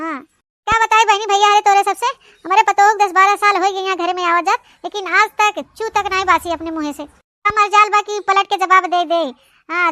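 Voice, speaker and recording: Cartoon old village mother-in-law, Awadhi accent, very squeaky and bright, effusive, rambling, fond. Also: no echo, clean studio sound. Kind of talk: cartoon comedy monologue in rural dialect.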